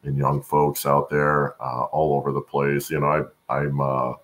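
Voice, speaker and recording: Very deep, gravelly middle-aged man. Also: little pitch movement. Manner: halting, earnest. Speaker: guest, supply chain expert and voice-over announcer. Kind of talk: conversational interview. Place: room at home, indoors. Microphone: video call.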